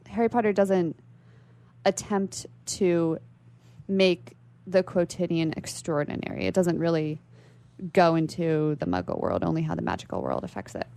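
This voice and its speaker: A woman with a fairly low voice and plenty of creak talks as a guest on a radio show. She explains literary ideas thoughtfully, in an unscripted conversational style. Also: warm tone. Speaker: interview guest; library worker.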